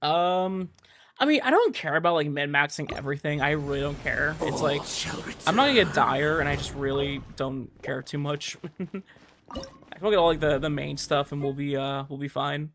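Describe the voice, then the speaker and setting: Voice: medium pitch, normal speed, a bit bright, a little hiss or hum. A game streamer giving casual live commentary in an easygoing way, mumbling reassurances while playing. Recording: game music behind the voice. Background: video game music.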